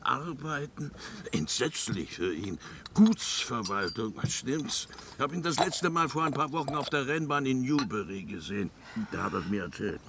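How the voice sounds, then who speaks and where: A tactless, effusive upper-class gentleman played by a male actor in a radio drama. His voice leaps about in pitch as he gushes and chatters with antique gallantry. Clear background noise sits behind him. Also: expressive, moderate pace, lightly acted. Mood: chatty, tactless. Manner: gushing, chatty, old-fashioned gallantry. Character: blustering upper-class English old school chum, tactless, effusive. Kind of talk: German radio drama acting.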